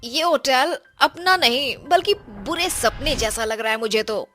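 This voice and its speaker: A woman voicing a frightened teenage daughter in an animated storybook, her lines high and jumpy. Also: a little slow, faint music behind, full character voice. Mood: complaining. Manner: high, jumpy, panicky when scared.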